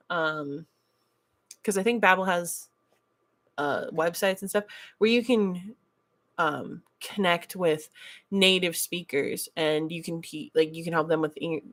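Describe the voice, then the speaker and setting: A young adult woman from the United States hosting a casual chat stream, blunt and animated, laughing often as she talks. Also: sits low. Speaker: stream host. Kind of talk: casual chat reading chat messages.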